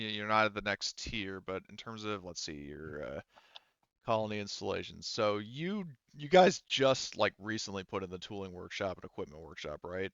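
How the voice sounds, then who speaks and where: A man casually running a tabletop roleplaying game as game master, halting and thinking aloud as he goes. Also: lowish voice, sharp tone, smooth, no echo, highs cut off.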